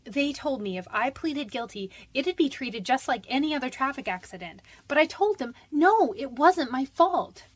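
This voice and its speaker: A woman's voice: sits high, animated, normal speed, few pauses. An actress playing a frightened but defiant young convicted woman in a radio detective drama, defensive, pleading and slangy.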